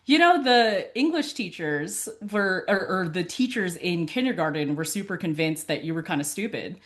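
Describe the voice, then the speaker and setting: A young woman from California whose first language was Tagalog chats as an interview guest. Her voice sits a little low, and she laughs often while she tells family stories. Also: expressive, moderate pace, a bit bright. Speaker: podcast guest, college advisor. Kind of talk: casual interview chat.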